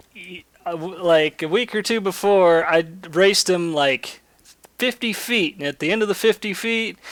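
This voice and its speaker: A man's voice: sits high, fairly bright tone, clear, resonant tone, dry recording. A man chatting and telling stories casually as he hosts a gaming video, rambling and swearing freely. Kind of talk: casual chat and storytelling over gameplay. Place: indoors, voice-over for screen-recorded gameplay.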